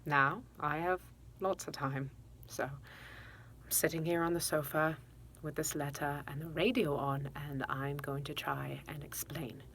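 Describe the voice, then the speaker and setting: An actress playing a regretful, quick-tempered mother confessing to her estranged son, in a halting dramatic monologue. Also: low voice, steady tone, a bit bright, a little hiss or hum. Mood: regretful, subdued. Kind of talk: dramatic monologue, self-taped audition.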